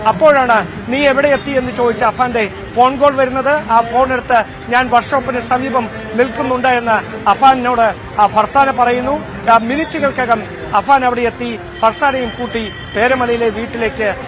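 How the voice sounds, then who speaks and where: A man reporting live as a field news reporter, explaining at length in halting, repetitive run-on sentences. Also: very high-pitched, steady tone, a crowd in the background.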